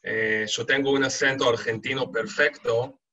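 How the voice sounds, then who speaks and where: A man from Israel speaking with an Israeli accent, giving an online lecture with frequent halting "eh" fillers. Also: medium pitch, steady tone, bright, forceful tone. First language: Hebrew. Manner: halting, many hesitant "eh" fillers. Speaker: guest speaker. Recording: lo-fi video call audio.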